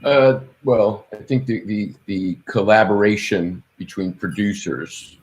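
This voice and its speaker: A man with a low voice chats in a business meeting. He explains things informally, as one colleague to another. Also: unhurried, darkish tone. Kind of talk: casual business meeting talk.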